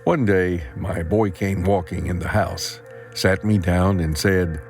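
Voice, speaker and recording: An actor playing a weary, regretful old farmer and trapped father, telling his story gravely in an audio drama. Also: low-pitched, takes it slowly. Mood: burdened, regretful. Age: older. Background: music.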